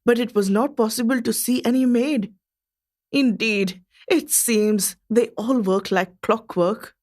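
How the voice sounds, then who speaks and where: A curious, admiring Indian lady visiting Edwardian England in a radio play. Her voice is on the high side, and she delivers her diary in precise, formal, expressive phrases. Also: unhurried. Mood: impressed, curious. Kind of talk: scripted dramatic reading of a diary.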